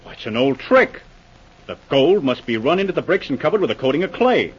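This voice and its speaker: An actor playing a gruff, impatient police inspector with a sardonic, commanding manner, in 1940s radio acting style. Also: male voice, on the high side, big pitch swings, fairly quick. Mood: urgent. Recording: muffled, faintly noisy 1940s radio recording.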